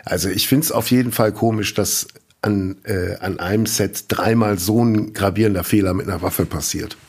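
A man with a fairly low, husky voice chats on a podcast in a relaxed way. He fills his sentences with hesitations and now and then reads short news items aloud. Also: moderate pace. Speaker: podcast co-host. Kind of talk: casual podcast chat.

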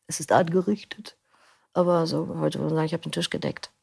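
A middle-aged woman with a low, gravelly voice, talking through her answers in a loose, thoughtful way. She restarts often and fills pauses with small hesitations. The sound is dry and close. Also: fairly even intonation, a little mellow, no room sound. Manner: thoughtful, rambling with restarts and fillers. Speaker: interview guest, audiobook narrator. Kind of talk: casual interview answers.

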